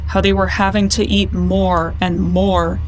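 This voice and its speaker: A woman narrating a creeping horror story in a measured, deliberate storytelling manner. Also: medium pitch, little pitch movement, a little hiss or hum, close to an everyday voice, low-quality recording. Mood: measured, ominous. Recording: loud music under the voice.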